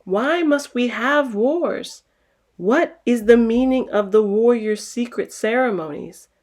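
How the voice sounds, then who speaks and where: A woman's voice: on the high side, expressive, slow, a little mellow. Lady doing a curious little village girl from a storybook, eager, keeps asking questions earnestly. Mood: curious, insistent.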